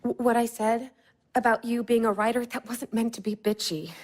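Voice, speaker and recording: Actress as high-voiced, anxious young wife, blunt and foul-mouthed, radio play. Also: female voice, fairly even intonation, moderate pace, a little mellow, lightly acted. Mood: frantic, snappish. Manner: blunt, swears often. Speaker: newly married wife. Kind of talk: audio drama acting.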